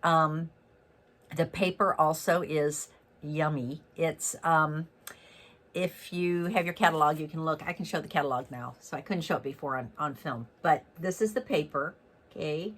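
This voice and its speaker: Male craft host, raspy and congested from a cold, upbeat chatty delivery. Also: on the high side, moderate pace, fairly bright tone. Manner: chatty and upbeat, with many fillers and self-corrections. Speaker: stamping demonstrator and host. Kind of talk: casual card-making tutorial.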